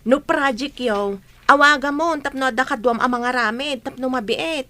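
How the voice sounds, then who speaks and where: A woman's voice: sits high, normal speed. A woman playing a kind older sister who reassures her younger sibling. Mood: gentle. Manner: gentle, reassuring. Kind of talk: radio drama acting.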